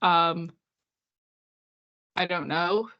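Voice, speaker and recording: A woman co-hosting a casual podcast, congested and sniffly with a cold, chatting quickly with frequent laughs and sarcastic asides. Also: on the low side, muffled recording. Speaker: podcast co-host. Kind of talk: casual chat about hockey.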